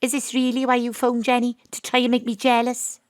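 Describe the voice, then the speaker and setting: An actress playing a wry, world-weary private detective, deadpan and confiding, in noir audio drama style. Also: female voice, fairly high pitch, a little fast, no room sound, studio quality, lightly acted. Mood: dry, teasing. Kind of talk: noir detective narration and dialogue.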